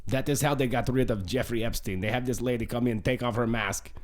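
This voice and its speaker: A man's voice: medium pitch, steady tone, a little fast, close to an everyday voice. A man playing a paranoid co-host on a radio show, speaking broken English. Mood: mocking. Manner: broken English, bluster, accusing. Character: paranoid Russian male co-host, blustering.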